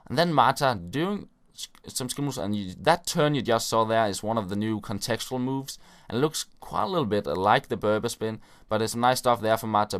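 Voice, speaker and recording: A man's voice: on the low side, smooth. A man hosting a gaming video, giving casual play-by-play commentary on his own matches with frequent filler words.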